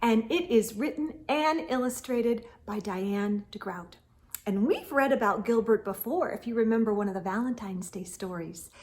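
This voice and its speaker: A woman hosting a storytime, reading a children's book aloud in an expressive, deliberate storytelling way. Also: fairly high pitch.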